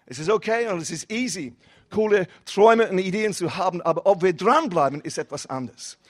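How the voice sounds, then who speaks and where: Young adult male guest pastor, non-native speaker with a foreign accent, high voice, casual preaching style. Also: expressive, a little fast. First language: not German. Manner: casual, mixes English words, rising and falling emphasis. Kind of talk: sermon.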